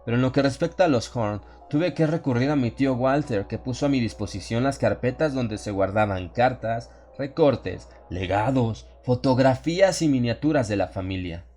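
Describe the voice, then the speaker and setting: A man reading a first-person horror story as a scripted audiobook, with measured, solemn and dramatic delivery. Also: medium pitch, animated, warm tone, faint music behind. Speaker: audiobook narrator.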